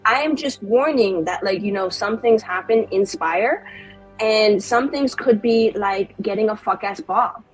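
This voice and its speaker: A woman's voice: mid-range voice, measured pace, soft background music, lightly acted, rough recording. A woman playing a cocky, spiteful, foul-mouthed female mage in an improvised tabletop roleplay, with brash slangy delivery. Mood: sassy, spiteful.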